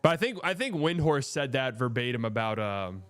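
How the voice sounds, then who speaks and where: Smooth-voiced man hosting a game stream. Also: mid-range voice, sing-song, normal speed, a bit bright. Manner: blunt, profane, reads and answers chat. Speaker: streamer hosting a watch party. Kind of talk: casual live game-watching chat.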